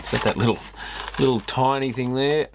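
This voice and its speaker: A man's voice: mid-range voice, steady tone, normal speed, background noise. A man hosting a repair video, casually commenting and reading a manual aloud with muttered asides. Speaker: host of an electronics repair video channel.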